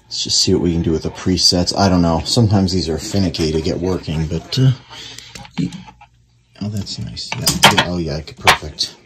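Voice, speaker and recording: A man's voice: low voice, normal speed, heavy background noise. A man giving casual hands-on commentary as a hobbyist restoring an old radio, murmuring short remarks as he works. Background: clicks and an antique radio playing.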